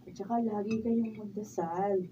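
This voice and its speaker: Filipino radio drama relative, played by a woman: devout, encouraging, soft dark voice, off-mic. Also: mid-range voice, steady tone, unhurried, natural voice. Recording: dull, distant mic, faint noise.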